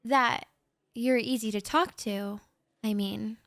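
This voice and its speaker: A young woman with a slightly high voice talks in a slow, unhurried way, shy and rambling as she speaks softly to the listener. Nervous laughs slip in between her thoughts, and the sound is close and dry with no room around it. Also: fairly even intonation, no room sound. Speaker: audio roleplay performer. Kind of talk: intimate audio roleplay monologue to the listener.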